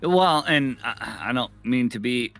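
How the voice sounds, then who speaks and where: A man's voice: medium pitch, lively intonation, a little slow, fairly bright tone. A man giving live video game commentary, phrasing emphatically and repeating words for stress. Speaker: esports commentator. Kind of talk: live video game tournament play-by-play and analysis. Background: music.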